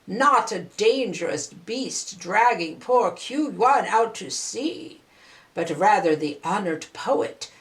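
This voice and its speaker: An older woman reading a children's story aloud in an expressive, deliberate way with dramatic pauses. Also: mid-range voice, low-quality recording.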